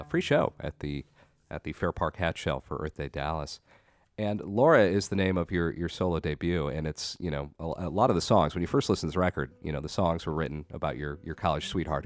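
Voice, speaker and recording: Quick, very deep-voiced radio host. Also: male voice, dry recording. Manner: eager, rambling questions with many restarts. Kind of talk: live radio interview. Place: radio studio.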